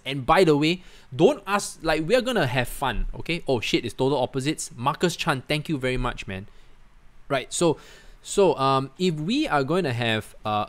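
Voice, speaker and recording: A young man, talking loosely and conversationally to his stream audience. His pitch swings up and down a lot as he chats, and he keeps tossing in "man" and "guys" between thoughts. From Singapore. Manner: chatty, animated, peppers talk with "man", "guys" and "right?". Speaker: livestream host. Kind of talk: casual livestream chat, answering viewer comments.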